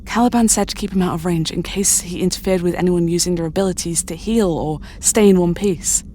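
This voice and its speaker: A woman acting a proud, sharp-tongued young telepath in an audio drama, speaking in a curt, assertive way. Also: on the low side, fairly quick, slight background noise, natural voice. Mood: focused.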